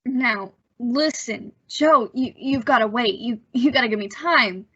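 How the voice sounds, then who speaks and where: A woman's voice: fairly high pitch, a little slow, sharp tone, husky, exaggerated voice. A woman playing a nervous, evasive young man with a hesitant manner in an old-time radio suspense drama. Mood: anxious, pleading. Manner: hesitant, stammering under pressure. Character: nervous young man, evasive impostor telling his story. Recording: dull, lo-fi audio.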